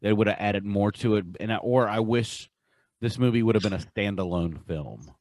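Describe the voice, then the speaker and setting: A man co-hosting a podcast in casual, enthusiastic chat, with a halting way of talking. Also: lowish voice, darkish tone, no room sound. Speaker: podcast co-host. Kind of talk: casual chat, countdown commentary.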